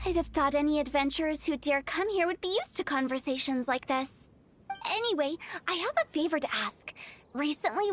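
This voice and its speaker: Quirky teen girl undertaker, very high pitch, playful, morbid, theatrical, video game, music behind. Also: fairly bright tone. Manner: theatrical, glib sales patter. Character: quirky, playful teenage girl funeral parlor director, breezily morbid. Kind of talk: animated video game voice acting.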